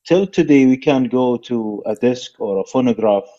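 A middle-aged man hosting a podcast over a video call on a headset, asking questions in a halting way with many fillers. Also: mid-range voice, dark tone, lo-fi sound. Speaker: podcast host. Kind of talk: interview questions.

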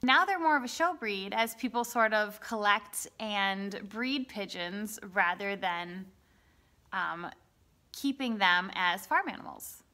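Woman in her thirties, bright and chatty, casual vlog from a barn coop on a handheld phone. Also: mid-range voice, animated, slow pace. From Wisconsin, United States. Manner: chatty, lots of ums and likes. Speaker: hobby farmer vlogger. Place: inside a wooden barn pigeon coop. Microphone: phone held at arm's length.

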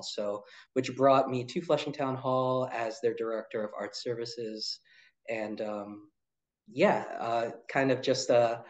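A man in his thirties, an arts director, answering interview questions in a relaxed way with many ums and uhs. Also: medium pitch. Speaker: arts nonprofit director, guest.

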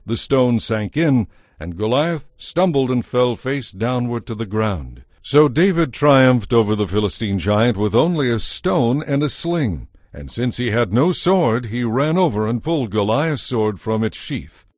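Male narrator for dramatized audio scripture: measured storyteller, medium pitch, dry studio sound. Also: expressive, darkish tone, dry recording.

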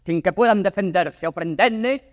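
An intense, commanding man of classical tragedy, played by an actor, with a Castilian accent. He declaims verse gravely in a fairly high voice with a long reverb. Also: normal speed, clean studio sound, lightly acted. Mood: stern command. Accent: Castilian Spanish. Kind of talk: classical Spanish verse stage acting.

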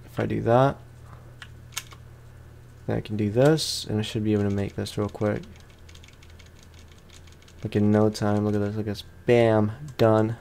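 A man's voice: fairly low pitch, level tone, unhurried, vocal fry, pauses often, a little mellow, slight background noise. A man hosting a let's play, chatting casually and playfully in slangy speech as he comments on the game. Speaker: gamer, let's play host.

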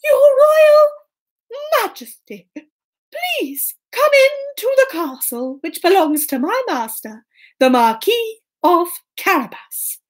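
Woman doing a clever bold storybook cat, really high voice, swoopy and coaxing, drags out the words. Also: sing-song, heavily acted. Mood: grand, welcoming. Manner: exaggerated, drawn-out, coaxing delivery. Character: clever, bold talking cat, wheedling and theatrical.

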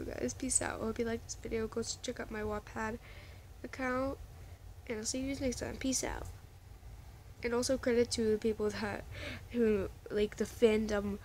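A woman's voice: fairly high pitch, darkish tone, a little hiss or hum. A woman casually chatting to her viewers in a rambling vlog, often restarting her sentences. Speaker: vlogger.

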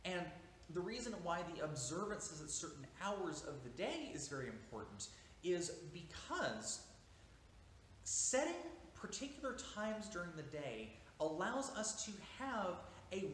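A man's voice: high-pitched, fairly bright tone. A man in his thirties, a priest, giving an informal seated lesson in a small church, explaining at length with fillers and restarts. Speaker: priest teaching a class. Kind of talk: informal lecture. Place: small church interior, seated near a window. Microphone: camera at a few meters. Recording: echoey church room with faint hiss.

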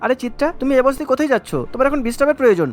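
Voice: sits high, rapid-fire, soft background music, close to an everyday voice. A voice actor playing a concerned, devoted young husband in a cartoon story.

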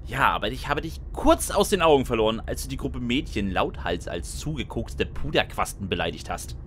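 A man's voice: medium pitch, very animated, moderate pace, a bit bright, a little hiss or hum. A man playing a sardonic, lonely narrator in a scripted first-person audio drama, with a dry manner. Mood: exasperated. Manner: dry, sarcastic. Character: frustrated, sardonic male narrator, lonely and sentimental underneath. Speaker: narrator and lead character. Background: music.

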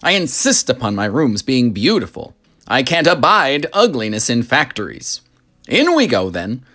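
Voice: medium pitch, big pitch swings, normal speed, a bit bright. A narrator voicing a flamboyant, boastful man who owns a storybook factory, theatrical and full of exclamations. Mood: proud, fussy.

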